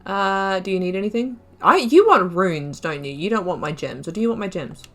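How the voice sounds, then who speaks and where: A woman's voice: medium pitch, lively intonation, a little fast, a bit bright. Chatty woman narrating a game playthrough. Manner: chatty, giggly, muttering asides. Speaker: gaming YouTuber. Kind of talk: casual let's-play commentary.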